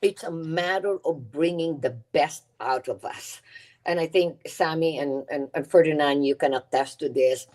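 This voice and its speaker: A middle-aged woman with a Filipino accent talks in a low, deep register. She speaks earnestly as an interview guest. She often repeats words while she builds toward her point. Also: normal speed, a little mellow. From Philippines. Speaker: guest, nonprofit CEO. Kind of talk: podcast interview.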